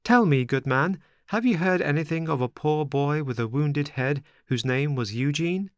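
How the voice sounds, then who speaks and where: A man voicing a frightened young wooden puppet boy with a high, pleading, tearful voice. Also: expressive, normal speed, dry recording, clean studio sound, lightly acted. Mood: eager, anxious.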